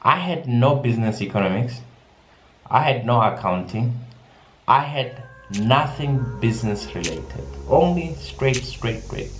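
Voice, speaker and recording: A man's voice: medium pitch, expressive, a little slow. A young man from South Africa chatting casually in a personal vlog, often repeating words as he goes. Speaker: vlogger. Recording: echoey room with music behind.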